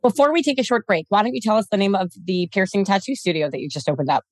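A woman hosting an interview, talking quickly and summarizing her guest's points. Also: mid-range voice, no echo, studio quality. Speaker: podcast host. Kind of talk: conversational interview.

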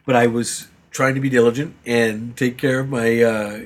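A man's voice: medium pitch, fairly even intonation, normal speed. A man co-hosting a casual gaming podcast, rambling through his week with many fillers and restarts and teasing the others. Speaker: podcast co-host.

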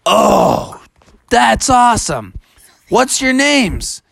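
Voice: mid-range voice, very animated, very slow, gravelly, dry recording, pitched above the performer's own voice, full character voice. Storyteller doing an adventurer guy from a kids story, all amazed and dramatic, voice jumps up and down, dry sound. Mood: delighted. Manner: exclaiming, dramatic wonder. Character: excitable, wonder-struck male adventurer in a storybook.

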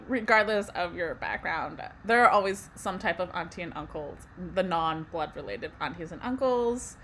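A woman's voice: medium pitch, fairly bright tone. Young woman, from Canada, podcast co-host, lots of ums, faint hiss behind. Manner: conversational, many fillers, light laughs. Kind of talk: casual podcast chat.

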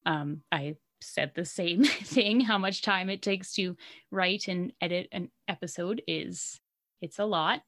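A woman's voice: lowish voice, a little slow, a bit bright. Canadian lady cohosting a podcast, giggly and chatty, says like a lot. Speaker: podcast co-host. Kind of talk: casual chat.

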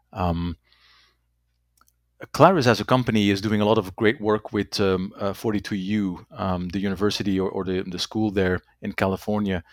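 Low-voiced man, halting speech. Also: no room sound, clean studio sound. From Belgium. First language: Dutch. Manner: halting, repeats words, tags sentences with "right?". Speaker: company executive guest. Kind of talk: conversational interview answers.

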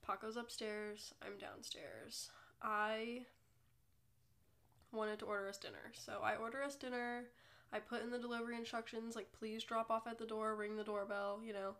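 A woman's voice: fairly high pitch, level tone, moderate pace, a bit bright. Congested young woman, chatty podcast host. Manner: chatty, self-deprecating, rambling. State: congested from a cold. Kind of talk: casual solo podcast chat.

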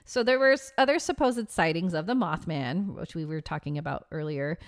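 A woman's voice: deep voice, fairly quick. Woman podcast co-host telling legends casually. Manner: conversational, reading out accounts with asides. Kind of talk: casual storytelling chat about a legend.

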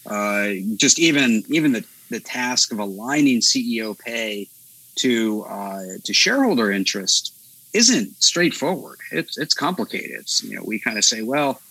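A man co-hosting a podcast, thinking aloud in a relaxed, meandering way with many fillers. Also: mid-range voice, normal speed, breathy edge, poor audio. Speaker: podcast co-host. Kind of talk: casual conversation.

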